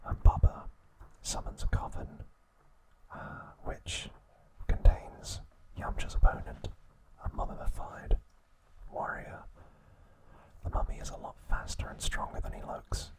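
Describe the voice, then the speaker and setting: A man speaks entirely in a breathy whisper, in the style of ASMR narration. His delivery is hushed and measured, broken into short phrases as he recaps in a storytelling way. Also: rough recording. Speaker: host of an ASMR trivia channel.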